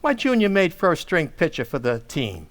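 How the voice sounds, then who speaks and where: A man's voice: a little high, wildly swooping pitch, moderate pace. A man playing a middle-aged, blustering working-class family man in old-time radio comedy style, with folksy ungrammatical speech and comic stammers. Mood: casual, defensive.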